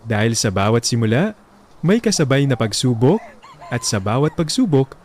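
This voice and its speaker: A man narrating a sentimental love story in a solemn, deliberate storytelling way. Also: mid-range voice, big pitch swings, a little mellow, slight background noise. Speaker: radio drama narrator.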